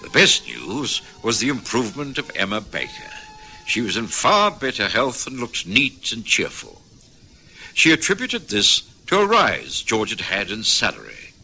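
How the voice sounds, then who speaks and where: Radio drama doctor-narrator: genial and courteous gentleman, forceful bright tone, widely varied intonation. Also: fairly low pitch, huge pitch swings, a little slow, creaky, soft background music, heavily acted. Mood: reflective narration.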